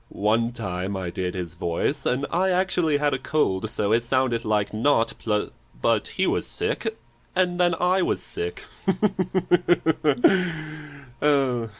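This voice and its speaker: A man's voice: medium pitch, sing-song, extremely slow, long pauses. A man hosting a podcast in a casual, jokey chat style. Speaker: podcast host.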